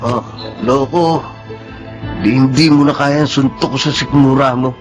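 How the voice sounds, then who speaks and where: A man's voice: mid-range voice, fairly even intonation, unhurried, lo-fi sound, soft background music, lightly acted. A man playing a menacing, lecherous young bully in a Filipino radio drama, taunting and threatening. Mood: gloating threat.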